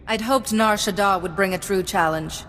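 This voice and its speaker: A woman's voice: mid-range voice, normal speed, a bit bright, a little hiss or hum, close to an everyday voice. Woman playing a cool, ruthless warrior in a hall. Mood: cool, assured. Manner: curt, assured, coldly sardonic. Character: cool, ruthless female warrior mystic, confident and menacing. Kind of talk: video game dialogue acting.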